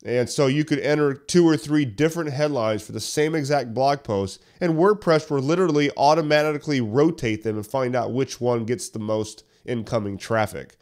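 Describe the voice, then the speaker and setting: A man hosting a solo podcast tutorial, talking conversationally and a little hoarse while getting over a cough, walking listeners through tips step by step. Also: medium pitch, normal speed, few pauses, a little mellow. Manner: conversational, explains step by step with questions. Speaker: podcast host. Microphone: studio podcast mic.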